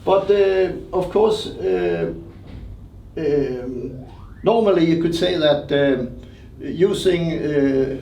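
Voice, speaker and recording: Halting, gravelly man in a roomy space. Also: fairly high pitch, lively intonation, warm tone. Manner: halting, hesitant phrasing in non-native English. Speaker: loudspeaker designer being interviewed. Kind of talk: unscripted technical interview answers. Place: indoor demonstration room at a hi-fi show. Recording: roomy, distant mic, noisy show room.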